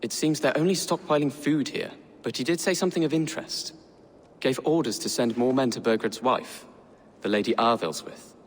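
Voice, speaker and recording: An actor playing a nervous young Saxon prince in a video game, speaking earnestly and hesitantly with a husky, breathy voice. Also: male voice, medium pitch, moderate pace, big-room sound, slight background noise, close to an everyday voice. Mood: anxious, earnest. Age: young adult. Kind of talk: dramatic video game acting.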